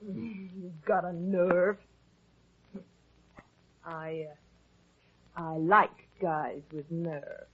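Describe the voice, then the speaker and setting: A sultry, menacing femme fatale. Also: female voice, lowish voice, fairly even intonation, very slow, pauses often, breathy edge, heavily acted. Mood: flirtatious, mocking. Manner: cool, taunting, flirtatious. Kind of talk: 1950s hardboiled radio drama acting. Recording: muffled 1950s radio recording.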